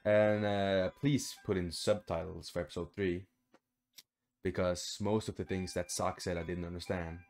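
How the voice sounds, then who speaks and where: A young man with a fairly low voice hosts a gaming video. He talks informally and thinks aloud as he plays, with some swearing. Faint music runs behind him. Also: flat delivery, normal speed, a little mellow. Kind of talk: casual let's play commentary. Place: small room indoors at home.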